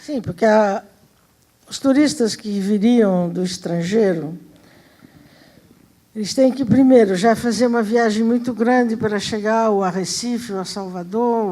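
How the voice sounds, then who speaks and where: An older woman, a guest archaeologist, answering a question from the panel in a measured, explanatory way. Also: a little high, darkish tone.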